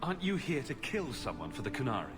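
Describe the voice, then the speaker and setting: A male actor plays a wisecracking fantasy game hero. He is dry and sarcastic, with a very lively swing in his mid-pitched voice. Music plays clearly behind him. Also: fast talker, close to an everyday voice. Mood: sardonic, teasing. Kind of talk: video game dialogue acting.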